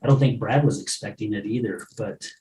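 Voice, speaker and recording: A man's voice: lowish voice, a little fast, mellow. A man hosting a recovery podcast, chatting enthusiastically and laughing often with his guests. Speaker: podcast host. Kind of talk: casual chat. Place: room with a live audience. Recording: roomy, from a distance, faint noise.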